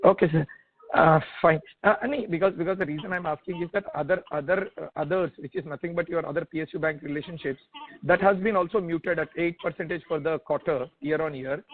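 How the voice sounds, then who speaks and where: Indian guy asking analyst questions on a tinny phone call, highish voice, keeps repeating words. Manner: halting, repetitive, stammers over repeated words. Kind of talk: spontaneous questioning on a conference call. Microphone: telephone conference call. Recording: tinny narrowband phone line.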